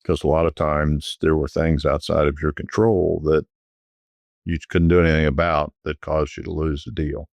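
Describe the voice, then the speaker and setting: A middle-aged man, a guest recalling his years selling private jets, talking in a relaxed, rambling way with frequent restarts. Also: rumbling low, moderate pace, creaky, no room sound. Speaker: guest, former private jet sales executive. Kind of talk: conversational storytelling.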